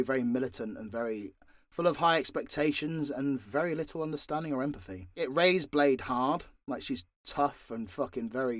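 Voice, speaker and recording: A man's voice: a little high, dry recording, studio quality. A British man casually answering fan questions off the cuff, sweary and joking with mock exasperation. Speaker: audio roleplay creator and voice actor.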